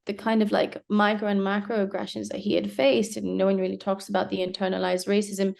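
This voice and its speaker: Reflective young woman with gravelly fry. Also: medium pitch, little pitch movement, brisk, darkish tone. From Hong Kong. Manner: reflective, many fillers and restarts. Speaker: interviewee. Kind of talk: casual interview.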